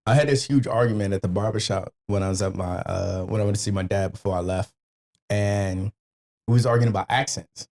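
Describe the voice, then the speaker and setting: An American man chatting casually in a blunt, opinionated, slangy way, with a husky voice. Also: fairly low pitch, a little fast, a little mellow. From Northern Virginia and Washington DC area, United States. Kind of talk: casual chat.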